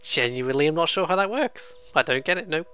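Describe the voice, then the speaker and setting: Bright-voiced, rambling let's player. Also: mid-range voice, lively intonation, a little fast, clean tone. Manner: rambling, jokey, self-deprecating. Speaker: let's play host. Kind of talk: casual game commentary with read-aloud dialogue.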